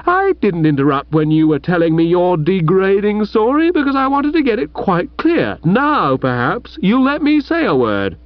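A pompous young gentleman in high-pitched indignation, as a male narrator puts him on, his voice leaping up and down as he lectures and snorts. The sound is dry and close, yet dull and muffled. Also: big pitch swings, slow pace, dry recording. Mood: righteously indignant. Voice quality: huffy, declamatory, snorting. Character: indignant upper-class young Englishman, pompous.

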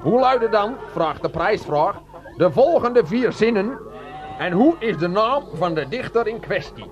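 High-voiced folksy farmer. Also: male voice, expressive, a little slow, dull audio. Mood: grumbling, sly. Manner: repetitive, quaintly formal, grumbling. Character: folksy, suspicious Dutch farmer, stubborn and wary of city folk. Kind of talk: radio play acting.

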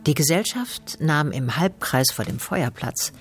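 An actress playing a poised storyteller, reading scripted literary narration in a radio drama. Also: female voice, sits low, lively intonation, measured pace, soft background music. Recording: faint background ambience.

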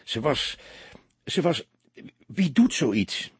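A man's voice: medium pitch, very animated, extremely slow, husky, no echo, pitched above the performer's own voice, exaggerated voice. A man voicing an anxious, earnest young soldier who speaks hesitantly and repeats words in an audiobook story. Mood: grieving, hesitant.

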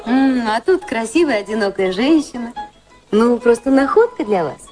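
An actress playing a cool, candid and wistful high-society woman in a radio play, composed and dryly sincere. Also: animated, moderate pace, darkish tone, lightly acted. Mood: cool rebuff. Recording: music playing behind the voices.

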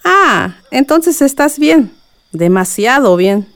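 A woman's voice: high-pitched, very animated, slow, a bit bright, long reverb tail, full character voice. A woman playing a fond but suspicious middle-aged village mother in a radio soap opera. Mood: fond, curious.

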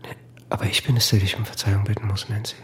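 An actor playing a guilt-ridden, distraught husband in a German radio play, with halting, stammering speech that repeats itself. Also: male voice, on the low side, flat delivery, a little hiss or hum, lightly acted. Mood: pleading, remorseful.

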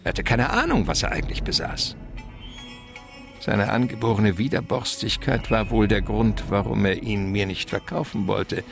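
An actor playing a suave, wily criminal mastermind in a German radio crime drama, speaking in a courtly, verbose and pompous way. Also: male voice, fairly low pitch, animated, normal speed, creaky, music in the background. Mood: relishing storytelling.

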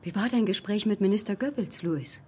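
An actress playing a probing, wry close companion of a filmmaker in a German radio play. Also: female voice, medium pitch, fairly quick, mellow, soft background music, close to an everyday voice. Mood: probing, sceptical.

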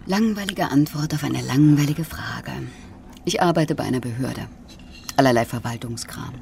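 A cool, inquisitive woman in a radio drama, speaking with a mellow, gravelly edge. Her delivery is measured, dry and quietly teasing, over faint noise. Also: rumbling low. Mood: dry, composed. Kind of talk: German radio drama acting.

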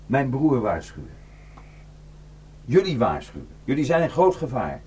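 A man's voice: mid-range voice, huge pitch swings, measured pace, mellow, slightly rough, full character voice. An actor in a radio drama playing an alarmed man who urgently appeals to others and warns of danger.

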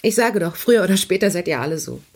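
An actress playing a writer's cool, quietly provocative wife in a radio play, dry and understated. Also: female voice, fairly low pitch, quick pace, natural voice. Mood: cool teasing. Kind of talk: naturalistic German radio play dialogue.

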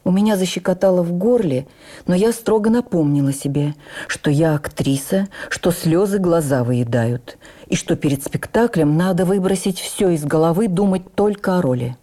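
A woman reading a memoir for radio as an actress, with measured, expressive, theatrical storytelling. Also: on the low side.

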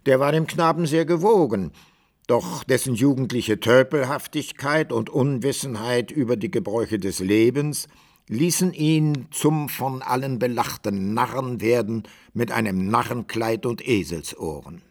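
An actor playing an old-time author who narrates a radio drama in measured, dramatic and archaic phrasing. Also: male voice, mid-range voice. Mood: measured storytelling. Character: the author as measured, literary storyteller.

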